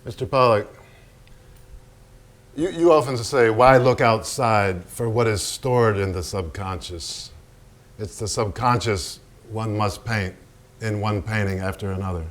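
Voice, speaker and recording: A man acting in a staged reading as a solemn narrator and interviewer, with formal, deliberate, pausing phrases. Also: on the low side, close to an everyday voice. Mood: polite, probing. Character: measured, solemn male narrator and polite interviewer. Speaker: stage actor.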